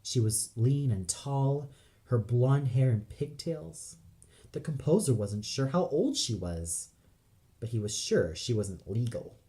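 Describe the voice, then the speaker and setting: Male narrator, dramatic scripted reading, solemn, theatrical, unhurried, warm dark tone. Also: medium pitch, animated.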